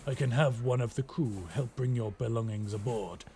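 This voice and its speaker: A man's voice: medium pitch, moderate pace, mellow, a little hiss or hum, lightly acted. A man playing a roguish, mercenary skyship captain with wry, courteous, old-fashioned speech in an immersive audio roleplay. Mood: dryly welcoming, haggling. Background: ambient sound effects.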